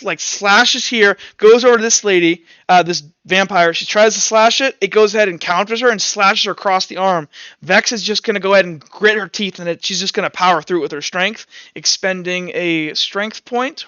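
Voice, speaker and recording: A man with a fairly high, bright voice runs a tabletop game as its game master. He talks casually through narration and rules with crisp clarity. The sound is close and dry, slightly dulled as in online voice chat. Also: dry recording, lo-fi sound. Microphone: headset.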